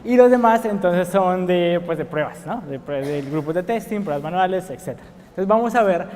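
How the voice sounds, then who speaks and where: A man's voice: sits high, expressive, moderate pace, a little mellow, a little hiss or hum. A young man with a Bogotá Colombian accent, giving a conference talk with frequent hesitations and fillers. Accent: Bogotá Colombian Spanish. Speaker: conference speaker. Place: conference hall. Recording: long reverb of a conference hall.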